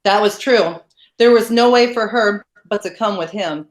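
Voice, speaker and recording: A woman narrating a novel in a steady, measured read-aloud. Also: medium pitch. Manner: steady, even, measured reading. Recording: roomy echo.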